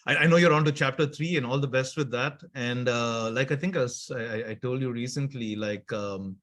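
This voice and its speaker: A man's voice: medium pitch, a little fast, a bit bright. A man hosting an interactive online workshop over a video call, chatty, with stammered restarts and easy laughter. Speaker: workshop host.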